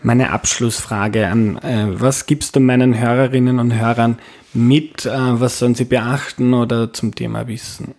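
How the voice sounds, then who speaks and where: A man hosting a podcast interview, with a voice of ordinary middle pitch. He asks his questions plainly and conversationally, with the occasional filler. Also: steady tone, measured pace, a little mellow. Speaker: podcast host. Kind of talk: interview and reflective closing.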